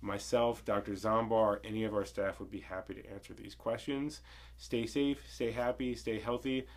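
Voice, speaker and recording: A man with a stuffy, snuffly voice, explaining health advice to clients in a clear, friendly way as a veterinarian. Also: on the low side, fairly even intonation, normal speed. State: congested, snuffly. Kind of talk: informational video address.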